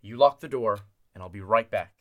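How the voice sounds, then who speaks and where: A man's voice: on the low side, brisk, fairly bright tone, natural voice. An actor playing a tense, protective man in the style of an old-time radio drama. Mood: resolute. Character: Clint, tense protective man searching in fog.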